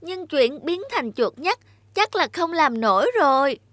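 A woman's voice: high voice, animated, a little slow, fairly bright tone, dry recording. A woman voicing a clever, cunning male cat in a cartoon fairy tale, eloquent and courtly in manner. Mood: sly, flattering.

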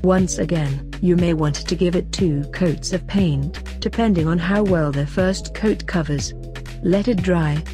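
Bright-voiced woman narrating a tutorial. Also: on the low side, measured pace. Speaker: host and narrator of a craft tutorial. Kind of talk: scripted instructional narration. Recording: voice over an instrumental music bed. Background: instrumental pop music.

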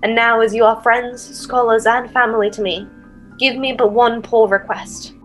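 A woman's voice: on the high side, steady tone, moderate pace, soft background music, close to an everyday voice, low-quality recording. A woman playing a brooding, earnest young noble in a theatrical, Shakespearean audio drama manner. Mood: solemn, urgent. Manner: theatrical, archaic Shakespearean phrasing. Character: brooding, earnest young Danish noble sworn to avenge a father.

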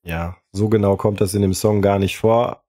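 Husky, low-voiced male podcast co-host. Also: steady tone, normal speed, mellow. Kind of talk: casual podcast chat.

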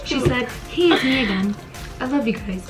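A woman's voice: a little high, lively intonation, moderate pace, music in the background. A woman co-hosting a casual Q&A in a small room, talking in a playful, sassy way. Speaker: co-host of a channel Q&A. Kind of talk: casual joking chat. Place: small dim bedroom, indoors.

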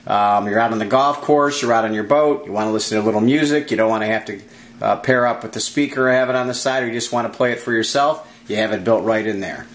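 A man giving an informal, unscripted product demonstration in a halting, plain-spoken way. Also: fairly low pitch. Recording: echoey room.

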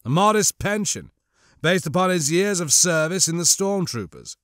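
A scheming male crime lord acted by a man speaks in a fairly high voice with icy disdain. He is mocking and measured, a menacing audiobook villain, recorded close and dry. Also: expressive, a bit bright, clean tone, dry recording. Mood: cold, calculating. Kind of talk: audiobook character acting.

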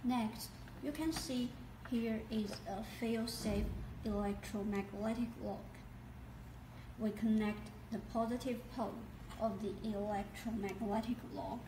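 A woman giving scripted instructional narration as a product demonstrator, in a deliberate step-by-step way. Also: medium pitch, fairly even intonation. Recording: echoey, distant mic, noisy background.

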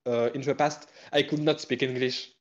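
A French-speaking man chatting in English with a French accent, hesitant and self-conscious, pausing often with fillers and laughing. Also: medium pitch, fairly even intonation, lo-fi sound. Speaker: guest streamer. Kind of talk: casual stream chat.

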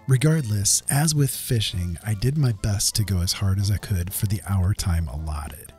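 Low-voiced man narrating a gaming video, music underneath. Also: normal speed, a little mellow, husky. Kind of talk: semi-scripted explanatory gameplay voice-over. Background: background music.

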